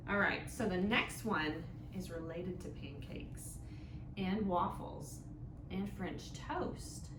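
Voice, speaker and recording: Female children's storytime host, unhurried and instructive, asks simple questions; noisy, reverberant room recording. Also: on the low side. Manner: simple, instructive phrasing with frequent questions and check-ins. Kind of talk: interactive storytime for young children, with songs and guessing games. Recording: echoey room with clear background noise.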